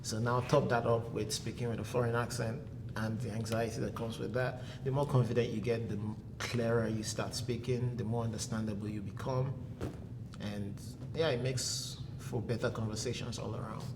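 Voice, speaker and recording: A young Nigerian man with an Igbo accent, calmly giving advice as a guest in a casual interview. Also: mid-range voice, moderate pace, noisy background.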